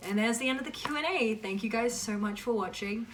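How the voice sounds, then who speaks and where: A woman's voice: on the high side, a little fast, recorded from a distance, background noise. A woman hosting a casual question-and-answer chat for her viewers, informal and emphatic as she reads questions aloud and answers them.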